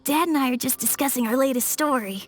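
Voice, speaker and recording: A woman's voice: high-pitched, fast, soft background music, lightly acted. A woman voicing a thoughtful, slightly nervous young boy storyteller.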